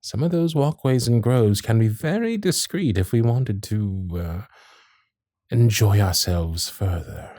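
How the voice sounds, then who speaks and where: A man playing a gallant, protective Victorian gentleman suitor in a romantic audio roleplay, speaking in formal, genteel, old-fashioned phrases. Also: fairly low pitch, animated, a little slow, no echo, clean studio sound. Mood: courtly, flirtatious. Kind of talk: romantic period-drama ASMR roleplay.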